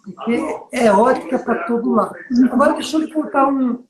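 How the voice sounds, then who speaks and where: A man's voice: very high-pitched, fairly quick, echoey room, crowd noise. A middle-aged man with a Northeastern Brazilian accent, chatting as a business mentor and telling stories while checking that the listener follows. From Bahia, Brazil. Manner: storytelling, asks listener for agreement. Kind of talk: conversational business coaching.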